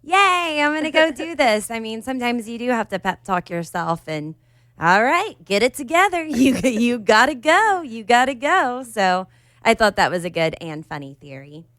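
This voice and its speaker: A woman co-hosting a podcast in casual chat, slightly raspy and animated. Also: fairly high pitch, normal speed, no echo, studio quality. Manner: animated, bursts into cheery put-on voices. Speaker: podcast co-host.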